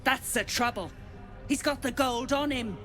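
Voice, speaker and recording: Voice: sits high, unhurried, a bit bright, soft background music. An actor playing a crude, foul-mouthed dwarf guard in a video game, blunt and mocking. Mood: gruff, threatening.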